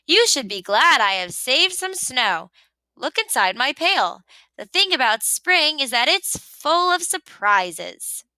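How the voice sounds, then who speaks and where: A woman's voice: high voice, very animated, takes it slowly, bright, forceful tone, dry recording, pitched above the performer's own voice, exaggerated voice, poor audio. A woman voicing a cranky, stubborn rabbit who complains emphatically in a children's storybook read-aloud. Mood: proud.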